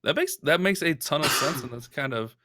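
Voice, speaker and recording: A man's voice: on the high side, lively intonation, fairly quick, noisy background. A man hosting a casual gaming chat show, talking in a chatty, upbeat way with lots of fillers. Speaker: host.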